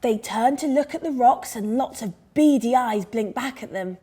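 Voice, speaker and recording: A woman reading a scripted story to young children with expressive, dramatised delivery. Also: on the high side, normal speed, breathy edge. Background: music under the reading.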